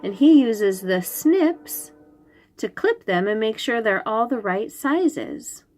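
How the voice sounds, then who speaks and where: Female storytime reader for preschoolers: slow delivery, very lively intonation, simple explanatory, questioning manner. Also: a little high, soft background music. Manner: instructive, questioning, enunciates key words for small children. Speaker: host reading picture books aloud to young children.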